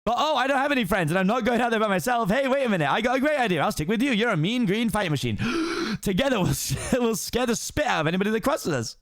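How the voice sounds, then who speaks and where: A man voicing an excitable, fast-talking male donkey who chatters nonstop. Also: sits high, no echo, clean studio sound, voice pushed up. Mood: chatty.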